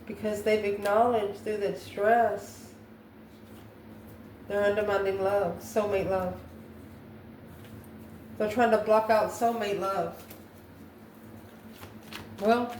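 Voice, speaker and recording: A woman's voice: mid-range voice, a little mellow. A woman giving an improvised tarot reading, musing aloud and often asking questions that she then answers herself. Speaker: tarot reader. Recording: roomy echo, faint background noise.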